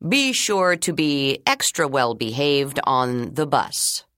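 A friendly, good-humored school principal giving the morning announcements, slow and measured, in a low voice that swings widely in pitch, as a woman reads him. The sound is close and dry, with no room around it. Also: big pitch swings, fairly bright tone, no echo, exaggerated voice. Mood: announcing. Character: kind, funny male school principal on intercom.

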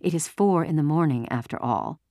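A woman's voice: deep voice, lively intonation, moderate pace, warm tone, no room sound, clean studio sound. A woman voicing a protective mother in an audiobook, polite and restrained but firm. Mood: quietly pleading. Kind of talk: audiobook character voice.

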